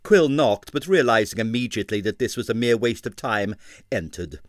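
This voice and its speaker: A man's voice: on the low side, expressive, moderate pace, no room sound, clean studio sound. A man reading an abridged novel aloud, narrating expressively and voicing the characters. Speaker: audiobook reader. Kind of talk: dramatized audiobook narration.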